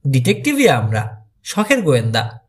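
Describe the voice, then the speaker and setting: Self-assured amateur teen sleuth read by a male narrator, calm explanations, expressive intonation, mystery audiobook. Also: mid-range voice, normal speed, pitched below the performer's own voice. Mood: confident. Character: self-assured teenage boy amateur detective.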